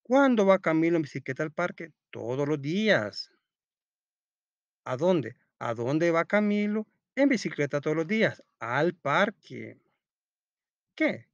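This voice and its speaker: A man's voice: a little high, very animated, a little slow, no echo, lo-fi sound. A man reading a children's school lesson aloud like a teacher, step by step, posing questions and answering them himself. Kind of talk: read-aloud storytelling and step-by-step lesson narration.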